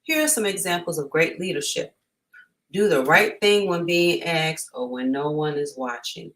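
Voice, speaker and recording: A woman's voice: sits low, animated, normal speed, fairly bright tone. A woman hosting a storytime, reading aloud and chatting informally and encouragingly to families. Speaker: storytime host.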